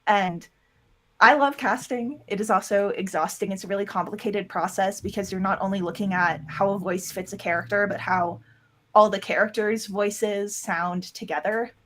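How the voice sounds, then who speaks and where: A woman gives a casual interview as a producer and voice actor. Her voice sits at a middle pitch. She explains things in a loose, rambling way, dropping in quoted asides as she goes. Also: fairly even intonation, moderate pace, fairly bright tone. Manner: rambling, with self-quoting asides. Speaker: interview guest, audio drama producer and voice actor.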